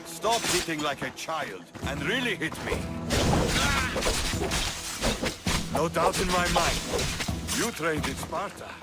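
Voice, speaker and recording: A man playing an intense, earnest Spartan warrior and love interest in a cinematic video game, speaking with solemn, intimate, measured phrasing. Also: on the high side, lively intonation, loud music behind the voice, close to an everyday voice. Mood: fierce, exerted.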